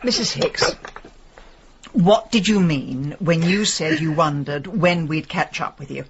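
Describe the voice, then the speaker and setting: A woman's voice: low-pitched, measured pace, breathy edge, lo-fi sound, full character voice. An actress playing a blunt, sarcastic middle-aged woman amateur sleuth in a radio comedy-drama. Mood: pointed.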